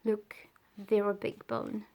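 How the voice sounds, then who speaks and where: A woman reading a children's story aloud in a deliberate way, pausing between phrases. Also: medium pitch, monotone, gravelly, dark tone. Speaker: storybook reader.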